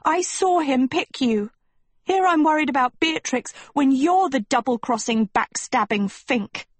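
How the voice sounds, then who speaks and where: Woman voicing a vain, dramatic, high-pitched teenage girl. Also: animated, slow, darkish tone, no echo. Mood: bitter, accusing. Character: Sophie, vain dramatic teenage girl, huffy and self-pitying.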